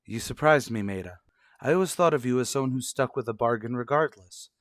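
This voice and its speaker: A man playing a stern, distrustful husband and father in an old-fashioned radio soap, speaking in a formal, measured, argumentative way. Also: mid-range voice, lively intonation, no echo, clean studio sound, lightly acted. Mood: accusing.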